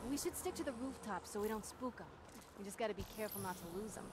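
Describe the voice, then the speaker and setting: A woman's voice: medium pitch, fast, very noisy, lightly acted. A woman playing a tough, guarded young vigilante in a video game, with a blunt, dry way of talking. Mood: brisk, determined.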